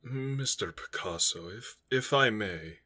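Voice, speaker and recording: A man playing a courteous dwarf with a rough, forceful voice and polite, hesitant formality in an audio drama. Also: fairly low pitch, lively intonation, takes it slowly, full character voice. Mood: tactful. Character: courteous, eager-to-soothe male dwarf.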